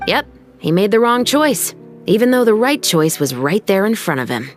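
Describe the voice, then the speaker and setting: A woman's voice: mid-range voice, big pitch swings, moderate pace, soft background music, natural voice. A woman playing a firm, no-nonsense young patrol captain in a video game. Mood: resigned. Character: stern, assured young female patrol captain, justice-minded. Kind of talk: video game cutscene acting.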